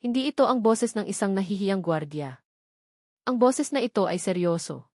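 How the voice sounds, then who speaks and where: A woman's voice: mid-range voice, expressive, moderate pace, a little mellow, no echo. Woman narrating a romance story, dry sound.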